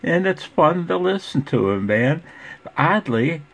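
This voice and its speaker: A man talking casually and off the cuff as a ham radio vlogger, folksy and rambling, with a gravelly voice. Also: fairly high pitch, big pitch swings, a little slow, fairly bright tone.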